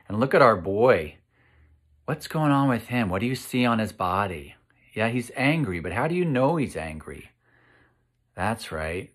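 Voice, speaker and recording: An American man in his fifties reading a picture book aloud to children in a slow, expressive, encouraging way, pausing to ask them questions. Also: fairly low pitch. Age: 50s. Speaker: children's read-aloud host. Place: indoor room at home.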